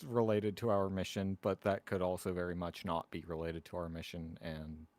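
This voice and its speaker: A man playing a tabletop game, talking casually in a halting, wry way. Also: sits low, flat delivery, darkish tone, no room sound.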